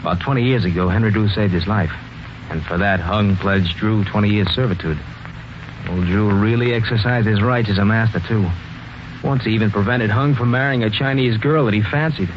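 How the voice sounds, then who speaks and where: A man's voice: on the low side, brisk, noisy background, close to an everyday voice. An actor playing an earnest young man who narrates a murder mystery and acts in it, in 1940s radio style. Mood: casual, friendly. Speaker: narrator and lead character. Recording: muffled 1940s radio, loud music behind.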